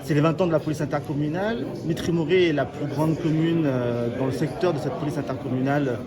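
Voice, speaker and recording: A man's voice: a little high, quick pace. A man working as a reporter, putting interview questions on site. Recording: loud crowd chatter around the voice. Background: busy crowd chatter.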